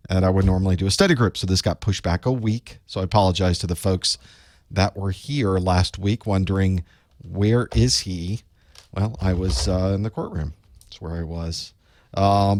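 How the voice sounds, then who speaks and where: Man, slightly raspy from a chest cold, lowish voice, rambling live-stream host chat, dry close sound. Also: darkish tone, dry recording. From United States. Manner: chatty, rambling, self-deprecating asides. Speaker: online course instructor hosting live study group.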